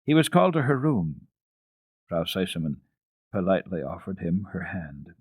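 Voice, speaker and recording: Male storybook narrator, low husky voice, dramatic and deliberate, close dry sound. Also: sing-song, dry recording. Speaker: reader of children's classics on a radio program.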